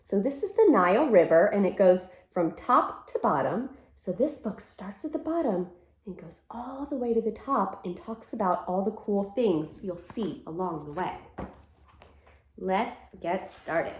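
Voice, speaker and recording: Woman reading aloud, lively pitch, echoey room. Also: unhurried. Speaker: teacher reading a picture book aloud to children. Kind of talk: read-aloud storytime with simple explanations.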